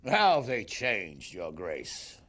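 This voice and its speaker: An actor playing a blunt, impatient lord who swears and jokes dryly, with a gravelly, husky voice. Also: male voice, low voice, wildly swooping pitch, takes it slowly, fairly bright tone, exaggerated voice. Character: blunt gruff male lord, impatient and sardonic.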